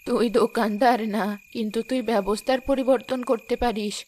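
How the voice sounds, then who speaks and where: A wise mother of the village leader gives firm orders in a slightly high voice, as a woman acts her out. She sounds sure and commanding. It is set in a storybook cartoon. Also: steady tone, a little fast, darkish tone, a little hiss or hum, lightly acted. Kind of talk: cartoon voice acting.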